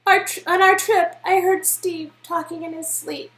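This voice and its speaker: Woman acting a frantic young woman, very high voice, insistent, emphatic, game drama, roomy echo. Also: steady tone, moderate pace, a bit bright. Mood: pleading, insistent. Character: distraught, frantic young woman obsessed with her vanished boyfriend. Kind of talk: amateur dramatic reading of game dialogue.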